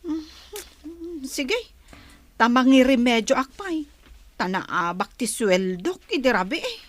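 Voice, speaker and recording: A stubborn, carefree wife with a gambling habit in a radio drama. Her voice is high and swings in lively pitch, recorded close and dry. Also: slow pace, no echo. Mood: evasive, insistent. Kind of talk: Ilocano radio drama acting.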